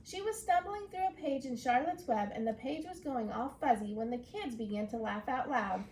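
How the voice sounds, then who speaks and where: High, animated woman teacher reading aloud. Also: moderate pace, a little hiss or hum, poor audio. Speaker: schoolteacher. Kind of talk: expressive storybook read-aloud lesson.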